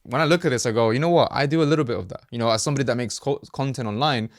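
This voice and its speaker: A man's voice: mid-range voice, no echo, clean studio sound. Spanish-native man, chatty explainer. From Colombia. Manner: conversational, slips into quick mock-voiced asides. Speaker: host, ad creative marketer. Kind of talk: casual explainer talk to camera.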